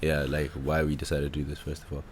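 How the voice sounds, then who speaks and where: Deep-voiced young man. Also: monotone, quick pace, a little hiss or hum. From Zimbabwe. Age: 20s. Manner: rambling, slangy. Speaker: podcast host. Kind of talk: casual chat.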